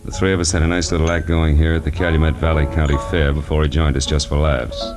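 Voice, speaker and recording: An actor playing a cynical, wisecracking private detective in a 1940s radio noir drama, with a wry, clipped, hardboiled delivery. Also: male voice, a bass voice, flat delivery, quick pace. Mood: cool, skeptical. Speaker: lead actor and narrator. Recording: vintage 1940s radio broadcast, music behind.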